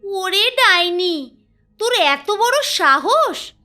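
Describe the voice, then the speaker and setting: A woman's voice: very high voice, animated, extremely slow, bright, forceful tone, exaggerated voice. A woman voicing a spiteful young second wife who returns as a luring ghost, with a taunting, eerie manner.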